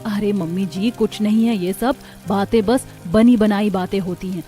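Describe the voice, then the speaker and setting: A woman's voice: medium pitch, moderate pace, warm tone, soft background music, natural voice. A woman voicing a sensible, skeptical young village daughter-in-law in a cartoon, matter-of-fact and reassuring. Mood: calm skepticism. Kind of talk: Hindi moral-story cartoon dubbing.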